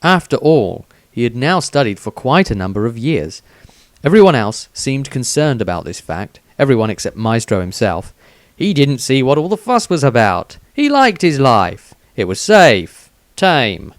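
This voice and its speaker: A male author narrates a fantasy tale in a medium-pitched voice. His reading is deliberate and dramatic, and the sound is dry with little room to it. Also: big pitch swings, no room sound. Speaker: author reading own story. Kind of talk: scripted fantasy audiobook narration.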